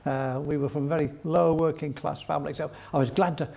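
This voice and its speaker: Older man, gravelly voice, fairly high pitch; rambling, chuckling interview guest. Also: fairly even intonation, a little fast, a little mellow. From England. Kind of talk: storytelling interview.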